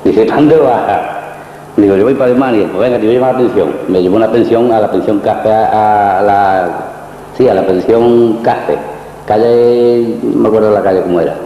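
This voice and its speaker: A man's voice: lowish voice, moderate pace. A man with an accent from Spain recalling his life in a rambling, colloquial, repetitive way as an interviewee, in a muffled recording. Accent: Spanish from Spain. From Cañada, Spain. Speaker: interviewee, former emigrant worker. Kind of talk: informal spoken recollection. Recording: muffled, very echoey hall with noise.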